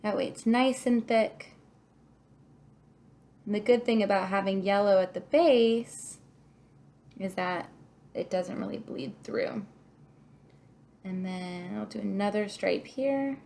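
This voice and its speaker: A woman's voice: medium pitch, slow, gravelly, long pauses, a little mellow, a little hiss or hum. A woman teaching young children a craft, talking through each step and thinking aloud in an encouraging way. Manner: encouraging, thinking aloud, asks the listener questions. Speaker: kindergarten teacher.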